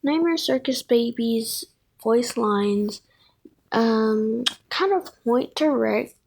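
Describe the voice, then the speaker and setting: A woman gives casual commentary as a video creator, in a fairly high voice with a creaky edge. She takes her time, rambling and thinking aloud as she corrects herself. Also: lively intonation, slow pace, a little mellow. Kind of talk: casual fan commentary on game characters.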